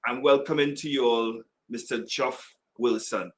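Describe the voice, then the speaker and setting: A man from Trinidad and Tobago hosting a sports podcast, formal and earnest, slowing down for key words. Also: medium pitch, steady tone, slight background noise. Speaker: podcast host. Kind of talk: podcast interview.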